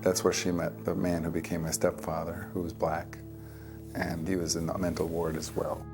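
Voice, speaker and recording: A man giving reflective personal testimony in a plain-spoken, halting way, as in a documentary interview. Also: deep voice, completely flat delivery, music in the background.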